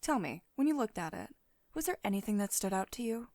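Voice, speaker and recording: A poised female CEO, acted by a woman in an intimate ASMR roleplay. She speaks at a medium pitch in a measured, commanding way, with teasing, slightly condescending affection. The sound is close to the microphone and very dry. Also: sing-song, darkish tone, no echo, close to an everyday voice. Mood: amused, approving. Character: poised, teasing, mildly dominant female CEO of tech firm. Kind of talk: ASMR roleplay, intimate one-sided dialogue. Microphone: close studio-style microphone, dry voice-over.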